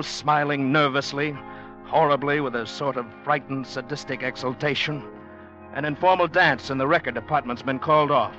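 An old, dull radio-play recording with music carries a forceful, bright-voiced man played by an actor. He is anxious and lovelorn, stammering earnestly and pleading in 1940s melodrama style. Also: mid-range voice, close to an everyday voice. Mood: haunted. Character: anxious lovelorn man trapped among sinister secret dwellers. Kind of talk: 1940s radio drama acting.

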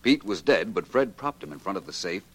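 A low-pitched American detective, shrewd and sure of himself, with lively swings of intonation. He lays things out deliberately and wryly in classic 1940s radio drama style. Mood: confident explaining. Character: shrewd, self-assured male detective. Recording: vintage 1940s radio recording.

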